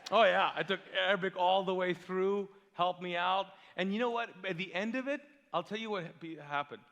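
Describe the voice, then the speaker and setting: A male pastor raised in Kuwait whose first language is Urdu, preaching with lively storytelling and rhetorical questions. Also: high-pitched, moderate pace, long reverb tail, lo-fi sound. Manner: animated storytelling, big swings in loudness, rhetorical questions. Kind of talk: sermon. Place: large church hall.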